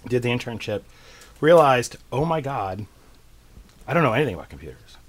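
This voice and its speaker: A man's voice: mid-range voice, big pitch swings, fairly quick. A middle-aged man from central Massachusetts, a tech company CEO chatting as a guest, rambling and self-deprecating with lots of fillers. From central Massachusetts, USA. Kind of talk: casual interview chat.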